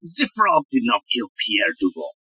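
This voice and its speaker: An actor playing a stubborn, cocksure detective inspector in a comic audio play, theatrical and self-assured, with a rough voice. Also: male voice, medium pitch, wildly swooping pitch, moderate pace, pushed and bright, no room sound, exaggerated voice. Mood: defiant.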